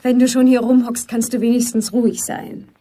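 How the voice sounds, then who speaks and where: An actress plays a scornful, teasing young woman in a radio drama. Her voice is husky and a little high, and she answers in curt, sarcastic jabs. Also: little pitch movement, moderate pace, mellow, lightly acted. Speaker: actor in a radio play. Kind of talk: naturalistic radio drama dialogue.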